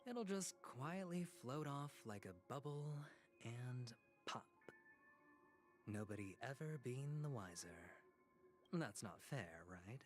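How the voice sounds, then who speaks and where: An actor playing a suave, scheming young corporate dealmaker in a video game, smooth-talking and theatrical with false friendliness, over music. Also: male voice, mid-range voice, animated, slow pace. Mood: sly, coaxing. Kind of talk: dramatic English game dialogue.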